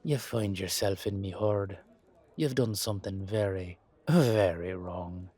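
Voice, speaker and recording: Man as a wry, husky Irish leprechaun. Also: low-pitched, a little slow, mellow. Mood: wry, warning. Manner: colloquial, dry, sweary. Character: wry, blunt male leprechaun with hidden menace.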